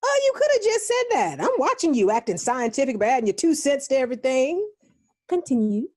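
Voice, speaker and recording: An actress playing a prim, easily offended matronly woman in a comedy table read, sweet but quick to scold. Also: sits high, big pitch swings, a little mellow. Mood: affronted, scolding.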